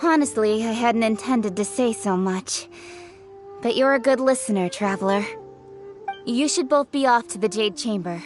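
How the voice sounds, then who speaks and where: A woman's voice: fairly high pitch, expressive, moderate pace, faint music behind, lightly acted. A woman playing a proud, forthright young female official in a video game, speaking formally and assertively. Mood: brisk, conceding. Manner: formal, assertive, crisp phrasing.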